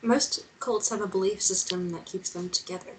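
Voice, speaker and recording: A woman's voice: mid-range voice, fairly even intonation, normal speed, close to an everyday voice. A woman playing a sceptical young woman who asks direct, probing questions in a script table read. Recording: noticeable background noise.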